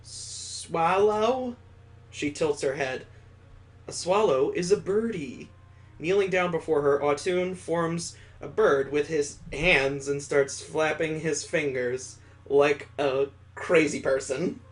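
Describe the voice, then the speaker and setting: Wry, higher-voiced man hosting a playthrough. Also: fairly even intonation, slow pace, few pauses. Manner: wry, sarcastic asides. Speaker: let's play host. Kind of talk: reading a visual novel aloud with joking commentary.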